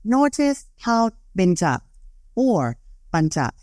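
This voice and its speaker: Lively Thai-accented teen podcaster. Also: mid-range voice, slow pace, dry recording, studio quality. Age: late teens. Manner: lively, reading from a script. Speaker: co-host. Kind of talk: scripted educational podcast.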